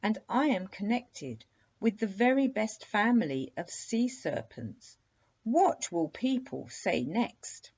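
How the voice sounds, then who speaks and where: A woman voicing an indignant, self-pitying sea serpent for a children's story, with a hoarse, drawn-out, growling delivery. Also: medium pitch, animated, darkish tone, highs cut off, voice pushed up, exaggerated voice. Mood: affronted groaning.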